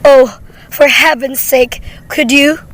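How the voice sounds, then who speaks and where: A woman's voice: high-pitched, fairly even intonation, takes it slowly, fairly bright tone. A woman playing a nervous, demanding invalid wife alone at home in a radio play, with an imperious, fretful manner. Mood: impatient, demanding.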